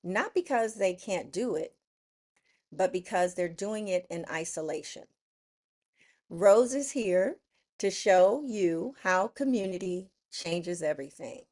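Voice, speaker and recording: Slow, lively-voiced woman podcast host. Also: on the low side. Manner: affectionate, calls guest darling and girl. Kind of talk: interview conversation.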